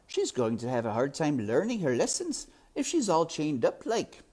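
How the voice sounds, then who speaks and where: Woman doing storybook servant girl, young, naive, hopeful, rustic turns of phrase, raised swooping pitch. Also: huge pitch swings, moderate pace, mellow, heavily acted. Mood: puzzled. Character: simple, hopeful young serving girl wanting royalty.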